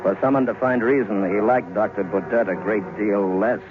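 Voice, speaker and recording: Low-voiced man narrating horror on tinny old radio. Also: steady tone. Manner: suspenseful, deliberate phrasing. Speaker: storyteller. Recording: thin, band-limited vintage radio recording with music.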